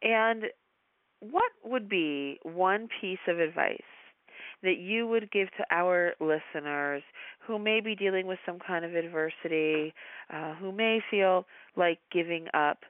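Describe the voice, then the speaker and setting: A woman hosting a podcast, asking a guest long, measured questions. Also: on the low side, no echo, phone-quality sound. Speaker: podcast host. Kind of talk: interview hosting.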